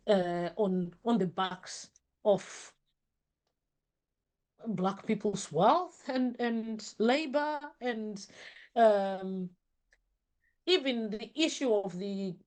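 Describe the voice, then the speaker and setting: A woman answers an interview question slowly, with many pauses and restarts as she searches for words. Her pitch is ordinary for a woman. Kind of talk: documentary interview.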